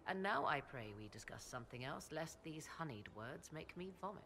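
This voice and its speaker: An actress playing a proud, sardonic sorceress in a video game, with archaic, formal, haughty diction. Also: female voice, low-pitched, animated, moderate pace, a bit bright, slight background noise. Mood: dry, sardonic. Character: proud, sardonic sorceress, devoted mother and lover. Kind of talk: dramatic video game dialogue.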